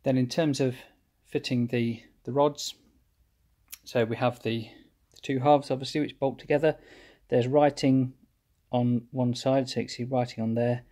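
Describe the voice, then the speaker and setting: A man calmly explaining his engine rebuild step by step while he works at a cluttered workshop bench. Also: medium pitch. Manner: methodical, plain explanatory, pauses mid-phrase. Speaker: home mechanic rebuilding an engine. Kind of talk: hands-on workshop walkthrough.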